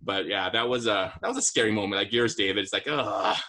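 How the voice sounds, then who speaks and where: A man's voice: lowish voice, fairly quick, bright, forceful tone. A man speaking casually as a teacher swapping stories on a podcast. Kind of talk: casual chat.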